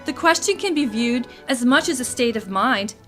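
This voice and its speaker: A woman's voice: a little high, animated, moderate pace, a bit bright, soft background music. A woman playing an inquisitive, easily exasperated amateur philosopher in scripted comedic radio theatre. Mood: musing.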